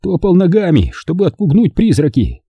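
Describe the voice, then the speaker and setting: A man's voice: high voice, wildly swooping pitch, normal speed, warm tone, husky, dry recording, voice pushed up, exaggerated voice. A man voicing a frightened, tearful old German man who whines and begs for his life. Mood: lamenting. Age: older. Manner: tearful, whining, pleading.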